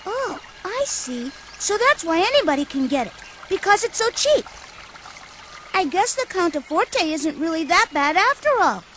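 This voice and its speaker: A woman's voice: very high voice, very animated, normal speed, noisy background. A woman voicing a naive, cheerful young boy in a video game, earnest and inquisitive. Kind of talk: video game cutscene voice acting.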